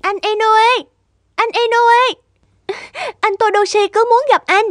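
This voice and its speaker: A woman voicing an emotional, earnest young woman in love in an animated cartoon. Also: extremely high pitch, slow, dry recording, exaggerated voice. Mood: excited.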